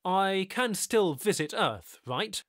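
A man voicing a high, pleading homesick American. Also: lively intonation, takes it slowly, fairly bright tone, no room sound, studio quality, full character voice. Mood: uneasy. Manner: pleading, rising to indignant outbursts. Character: homesick young American man, anxious, stubborn.

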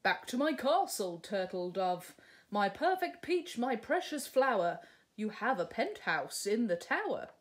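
A woman's voice: mid-range voice, very animated, a little slow. A woman voicing a boastful, bossy young prince from a storybook, grandiose and condescending. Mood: wooing. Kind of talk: storybook character reading.

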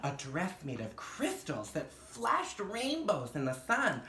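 A man's voice: high-pitched, wildly swooping pitch, slow pace, sharp tone, full character voice. A man voicing a hopeful, dreamy young child in a storybook, earnest and pleading. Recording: roomy echo, some background noise.

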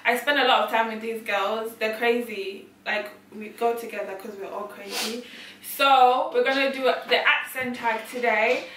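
Young woman host chatting with friends, giggly, bright voice, recorded from across the room. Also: a little high, steady tone, moderate pace, few pauses, slight background noise. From Ghana. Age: young adult. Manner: giggly, chatty, often breaking into laughter. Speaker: channel host. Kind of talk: casual chat with friends. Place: small room, friends on a sofa. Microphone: far camera. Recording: echoey room from a distance.

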